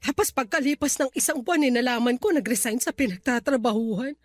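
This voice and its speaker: An actress in a Tagalog radio drama playing a weary, resentful young wife who confides plaintively, with sighs. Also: female voice, high voice, quick pace. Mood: regretful, resentful. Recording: music bed behind the voice.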